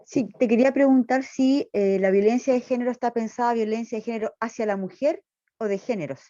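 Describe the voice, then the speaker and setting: High-voiced woman on a muffled call. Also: brisk, warm tone, no echo. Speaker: student asking a question. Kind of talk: casual spoken question.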